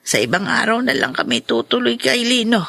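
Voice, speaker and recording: An actress with a Filipino accent playing a caring, fussy older friend in a radio drama, expressive and concerned. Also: female voice, on the high side, brisk, creaky, music in the background. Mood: worried.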